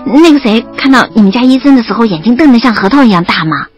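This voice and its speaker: Give this to a lively young student narrating her love story in an audio drama. Her voice sits fairly high and swings widely in melody, with a slightly breathy edge. The sound is close, a bit dull, with music underneath. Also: fast talker. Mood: animated, teasing. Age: young adult. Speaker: narrator and lead actress.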